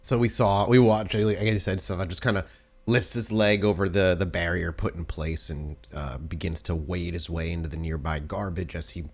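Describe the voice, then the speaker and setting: A man's voice: sits low, fairly even intonation, normal speed. A man narrating a tabletop roleplay game as game master, descriptive and rephrasing as he goes.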